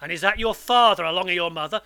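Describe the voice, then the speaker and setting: Fearsome, barking escaped convict. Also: male voice, high-pitched, fast, sharp tone, clear, resonant tone. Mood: suspicious, menacing. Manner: barking, menacing commands. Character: fearsome escaped convict man, rough, threatening, ravenous.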